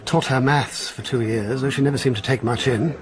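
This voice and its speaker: A man's voice: mid-range voice, fairly even intonation, fairly quick, a crowd in the background, close to an everyday voice. An actor playing a dry, self-assured older male schoolmaster who is nearing retirement. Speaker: second master at a school.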